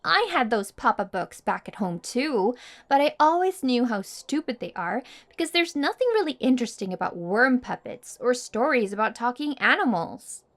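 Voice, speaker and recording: Casting: actress as mean popular schoolgirl for intimate ASMR roleplay. Highish, very lively intonation; smug, taunting, condescending. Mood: wistful, bitter. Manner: taunting, boastful, condescending, drawn-out teasing. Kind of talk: intimate ASMR roleplay monologue addressed to listener.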